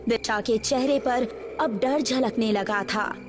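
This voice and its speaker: A woman's voice: fairly high pitch, slow. A woman narrating a scripted horror story as the storyteller. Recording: music behind the voice.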